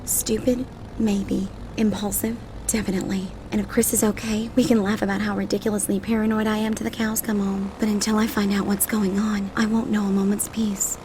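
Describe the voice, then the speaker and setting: A woman plays an anxious young college woman in an audiobook drama, wry and hard on herself. Her delivery stays fairly even in pitch, with clear engine noise behind her. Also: a little fast, natural voice. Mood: anxious, determined.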